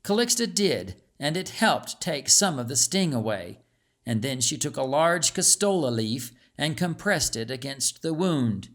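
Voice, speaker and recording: Male audiobook narrator, very slow pace, mid pitch, measured, dramatic storytelling. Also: big pitch swings, fairly bright tone.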